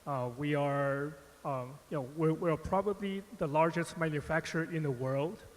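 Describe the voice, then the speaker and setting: A man's voice: fairly high pitch, normal speed. A man speaking as a panelist, stumbling through answers with many filler phrases. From born Taiwan, raised United States. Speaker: panelist, company strategist. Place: conference hall stage. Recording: conference hall reverb.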